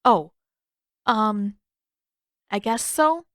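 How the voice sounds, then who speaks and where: A woman's voice: mid-range voice, extremely slow, a bit bright, no room sound, studio quality. A woman voicing an eager, agreeable young boy in an audiobook, earnest and conciliatory. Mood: hesitant.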